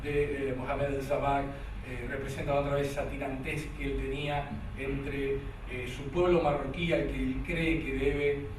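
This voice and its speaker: A man's voice: medium pitch, flat delivery, a little slow. A man with an Argentine Spanish accent giving a conference lecture, hesitant, with many fillers and restarts. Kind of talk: academic lecture with a read-aloud poem. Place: lecture hall. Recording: echoey hall from far off, faint noise.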